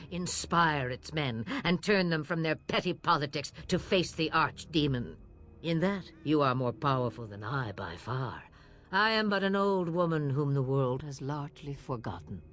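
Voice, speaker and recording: An actress playing a sardonic, weary and imperious old witch of the wilds in a fantasy game, with an aged, theatrical delivery. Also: sits low. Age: older. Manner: theatrical, wry, dismissive. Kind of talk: fantasy video game voice acting.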